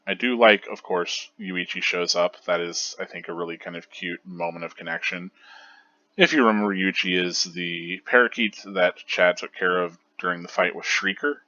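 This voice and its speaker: A man's voice: low-pitched, moderate pace, sharp tone, no room sound, muffled recording. A man hosting a manga reread show, giving casual analytical commentary in an enthusiastic, rambling way with lots of fillers and restarts.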